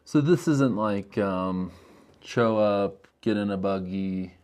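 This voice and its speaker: A man's voice: lowish voice, slow pace, a little mellow, clear, resonant tone. A man with an American accent hosting a casual podcast interview, with informal, loosely phrased talk.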